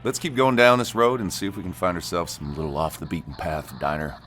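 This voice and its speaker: A man's voice: sits low, fairly even intonation, quick pace, fairly bright tone, faint music behind. An actor in an audio drama playing a casual, penny-pinching man who is guarded beneath his folksy talk. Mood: easygoing. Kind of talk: audio fiction drama acting.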